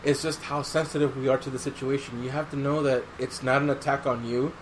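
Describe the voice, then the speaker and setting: A man's voice: mid-range voice, steady tone, fairly quick, a little hiss or hum, rough recording. A man hosting a podcast in casual chat, swearing freely and giving his opinions in slangy talk. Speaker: podcast host.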